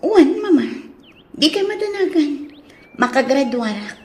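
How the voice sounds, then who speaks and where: A woman's voice: high voice, very animated, measured pace, darkish tone. A woman playing a headstrong young student wife in an Ilocano radio drama, insistent and emotive, with an Ilocano accent. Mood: hopeful. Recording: roomy, echoing drama sound.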